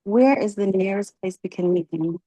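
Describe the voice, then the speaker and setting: A woman leading a religious class, asking catechism questions and encouraging her students, often pausing with ums. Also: mid-range voice, dark tone, no room sound, muffled recording. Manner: encouraging, halting with many ums.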